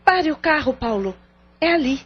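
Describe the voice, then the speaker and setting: A woman's voice: sits high, lively intonation, normal speed. A woman acting a curious, caring companion in an audio drama, with an inquisitive, earnest delivery. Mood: alert. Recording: muffled radio drama audio with music.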